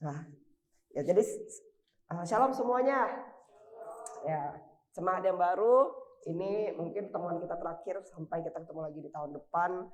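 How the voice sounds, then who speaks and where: A woman's voice: lowish voice, normal speed, pauses often. A woman preaching a sermon in church, casual and full of fillers, her voice strained by a cough. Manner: informal, with frequent fillers and restarts.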